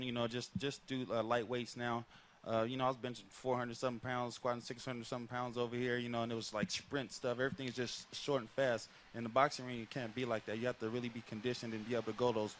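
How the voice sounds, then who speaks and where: A man's voice: medium pitch, quick pace, a little hiss or hum. A man in his thirties, a boxer guest, talking casually and rambling with lots of fillers on a headset mic at an outdoor set. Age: 30s. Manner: relaxed, rambling with many fillers. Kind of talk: casual interview talk.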